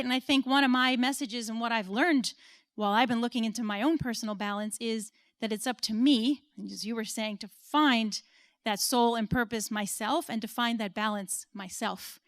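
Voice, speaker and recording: An American woman in her forties speaks to a room of listeners, earnest and personal, with a light laugh at her own expense now and then. Her voice sits a little high, and the sound is close and dry, with no room echo. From United States. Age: 40s. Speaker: conference speaker. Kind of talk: conference talk. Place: stage at an event.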